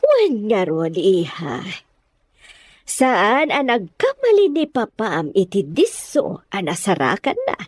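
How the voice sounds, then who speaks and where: A woman's voice: medium pitch, huge pitch swings, slow, slightly rough, dry recording, exaggerated voice. An actress playing a contented, genteel mother in an audio drama, leisurely and admiring in manner. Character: contented, genteel mother talking fondly to her daughter.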